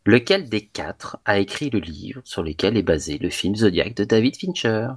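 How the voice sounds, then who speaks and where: A man hosting a podcast quiz, reading out the questions in a measured, deliberate way. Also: fairly low pitch, animated, slightly rough. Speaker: quiz host.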